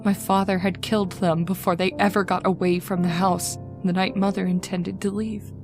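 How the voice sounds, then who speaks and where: A woman's voice: mid-range voice, little pitch movement, normal speed, music in the background, lightly acted. A woman playing a haunted young wife in an old-time radio horror drama, with an earnest, dramatic delivery. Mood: somber, haunted.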